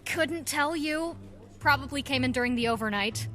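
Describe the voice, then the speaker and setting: A woman's voice: high voice, moderate pace, a bit bright, soft background music. A woman playing a breezy, evasive nurse bluffing under pressure in a radio drama.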